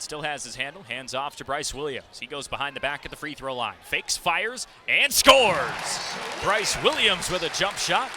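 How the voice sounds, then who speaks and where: Young man, radio sportscaster, higher pitch, live basketball commentary, vivid running description, loud arena noise and bouncing ball. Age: young adult. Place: courtside broadcast in a basketball arena. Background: basketball game ambience, ball bounces.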